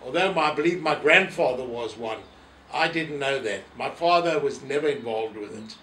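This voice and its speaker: An elderly South African man reminisces in an interview, telling anecdotes and acting out remarks. His medium-pitched voice comes from a little way off in an echoey room, with faint noise behind it. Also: expressive, normal speed, pushed and bright. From South Africa, family from Poland. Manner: anecdotal, re-enacting quoted remarks. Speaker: interviewee, former synagogue office holder. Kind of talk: reminiscing in an oral history interview. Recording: roomy echo, distant mic, faint noise.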